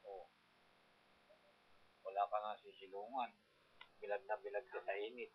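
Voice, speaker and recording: A man's voice: fairly low pitch, flat delivery, measured pace, slightly rough, muffled recording. An older man, reminiscing casually in a rambling, colloquial, demonstrative way. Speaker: farmer recalling his working life.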